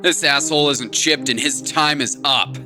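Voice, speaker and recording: A brutal corporate enforcer who barks cold threats, played by a man. His voice sits at an ordinary male pitch. Clear music plays behind him. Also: normal speed, lightly acted. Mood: threatening.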